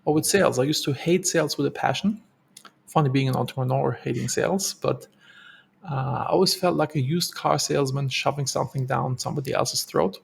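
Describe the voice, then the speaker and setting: A man's voice: mid-range voice, a little fast, vocal fry, slight background noise. A man with a German accent, co-hosting a podcast and telling his personal story in an earnest, reflective way. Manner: earnest, reflective, with fillers and repeats. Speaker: podcast co-host. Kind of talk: casual storytelling.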